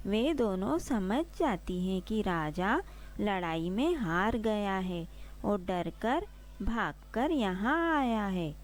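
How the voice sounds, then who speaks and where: A woman's voice: mid-range voice, lively intonation, slow pace. A woman narrating a scripted children's story as the storyteller.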